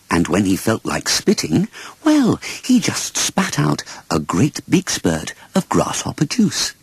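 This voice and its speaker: A man narrating a children's story as an expressive audiobook reader. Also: on the low side, breathy edge, no echo.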